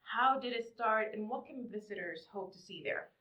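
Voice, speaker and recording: A woman's voice: a little high, moderate pace, sharp tone, low-quality recording. A woman hosting a podcast interview in a casual, chatty conversational style.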